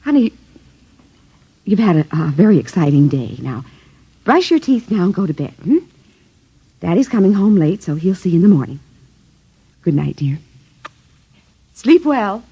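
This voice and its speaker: An actress playing a gentle, anxious housewife and mother in a 1950s radio drama. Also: on the low side, big pitch swings, moderate pace, pauses often, slightly rough, no echo, heavily acted. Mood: soothing. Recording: muffled old radio drama recording.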